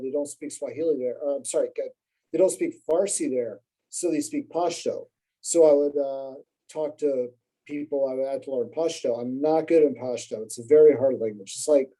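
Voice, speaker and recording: A man on a podcast talks loosely and at length, stopping and restarting with plenty of fillers. His voice sits in a very dark, mellow register. He pokes fun at himself as he goes. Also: a little high, low-quality recording. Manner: rambling, self-deprecating, lots of fillers and restarts. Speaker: podcast guest. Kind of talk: casual conversational storytelling.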